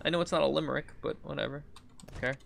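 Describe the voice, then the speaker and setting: Bright-voiced man with gravelly fry. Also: fairly high pitch, fairly even intonation, very fast. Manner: informal, thinking aloud. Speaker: video game streamer. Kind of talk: casual gameplay commentary. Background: game music.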